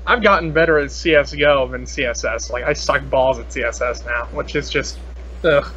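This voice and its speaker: A man's voice: medium pitch, moderate pace, a bit bright, highs cut off. A young man chatting casually as a podcast host, with a playful, silly, teasing manner. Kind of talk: casual joking chat. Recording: noisy internet call recording.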